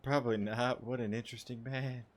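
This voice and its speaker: A man's voice: mid-range voice, steady tone, fairly quick, slight background noise. A man giving casual, offhand commentary as he plays through a game. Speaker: gaming streamer reacting.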